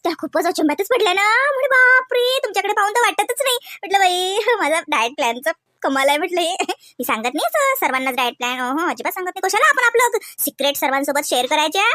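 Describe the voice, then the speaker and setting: A woman's voice: very high-pitched, expressive, fast talker, few pauses, pushed and bright, no room sound, studio quality, heavily acted. A woman voicing a snobbish, boastful, envious sister-in-law in a comedy cartoon, affected and condescending. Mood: vain, affected. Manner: affected, condescending, with snide muttered asides. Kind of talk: exaggerated cartoon comedy dialogue.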